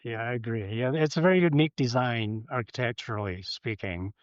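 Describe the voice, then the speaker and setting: A man's voice: medium pitch, no room sound, muffled recording. Man from China on a call. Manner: halting speech with fillers and repeated words. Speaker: guest, entrepreneur. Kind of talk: casual interview answers. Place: home office.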